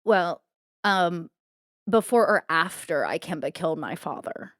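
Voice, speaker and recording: A woman's voice: lowish voice, lively intonation, a little slow, fairly bright tone, no room sound, studio quality. Woman playing a flippant, spoiled young mob heiress. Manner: valley-girl filler-heavy, breezy. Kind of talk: improvised tabletop roleplay.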